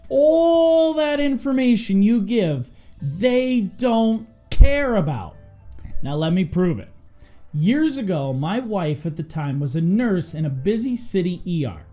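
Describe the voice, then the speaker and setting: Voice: mid-range voice, very animated, takes it slowly, warm tone, faint music behind. An EMS instructor hosting a scripted lesson, speaking in an emphatic, direct way to fellow providers. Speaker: EMS instructor and video host.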